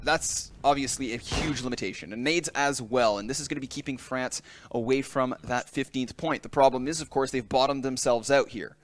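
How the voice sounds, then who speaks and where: A man casting an esports match play-by-play, with quick, excited calls of the action. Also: medium pitch. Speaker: esports caster.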